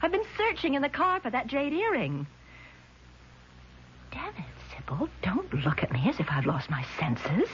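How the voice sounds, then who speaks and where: A woman's voice: high-pitched, huge pitch swings, moderate pace. An actress playing an agitated, confused woman in a 1970s radio drama, emphatic and indignant but faltering when doubted. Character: agitated, confused woman insisting on her memory. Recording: muffled vintage radio broadcast, faint noise.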